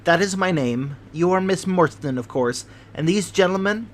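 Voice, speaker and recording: A man voicing a fidgety, anxious bald little man of thirty with a high, thin voice and fussy, overly polite manner. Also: lively intonation, normal speed, slight background noise.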